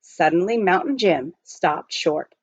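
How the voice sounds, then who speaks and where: A woman reading a children's novel aloud as scripted narration, with expressive storytelling. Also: fairly low pitch, measured pace, husky, highs cut off. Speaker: audiobook reader.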